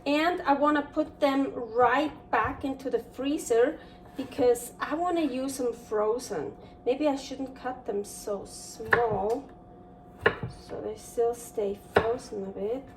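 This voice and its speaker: A young Swiss woman with a noticeable accent talks through a recipe in her home kitchen. Her voice sits high and her pace is slow, full of fillers and restarts as she thinks aloud. The mic is some distance off, with a faint hum of room noise behind her. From Switzerland. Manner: chatty and rambling, many fillers and restarts. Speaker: cooking show host. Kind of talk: casual cooking vlog chat.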